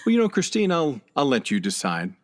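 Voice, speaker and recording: Man playing a breezy, overconfident bluffer, very expressive. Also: medium pitch, brisk. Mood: bluffing. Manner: breezy, self-assured, with hesitant bluffing. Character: overconfident, bluffing, unqualified male job candidate. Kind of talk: comedic radio play acting.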